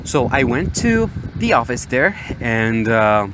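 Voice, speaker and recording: A man's voice: mid-range voice, slow pace. A young man from Morocco with an American accent, hosting a podcast and telling casual personal stories in a hesitant way. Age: young adult. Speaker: podcast host. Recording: steady outdoor-like background noise, waves. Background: ocean waves ambience.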